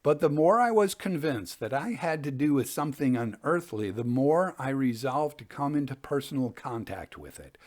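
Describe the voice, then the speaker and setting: A man reading Victorian ghost tales as a storytelling host, in a measured, deliberate and expressive way. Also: medium pitch. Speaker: host and reader of ghost stories.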